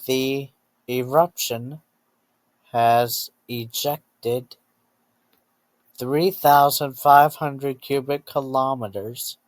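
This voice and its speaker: Very slow, monotone man reading an emergency alert. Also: mid-range voice, no room sound, rough recording. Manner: halting, word by word, often repeats and rephrases phrases. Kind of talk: scripted emergency alert narration for a fictional scenario.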